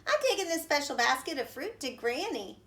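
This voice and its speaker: Lady putting on a squeaky little girl, sweet and innocent, storybook. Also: very animated, brisk, a bit bright. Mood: cheerful. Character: cheerful young girl in a red cloak.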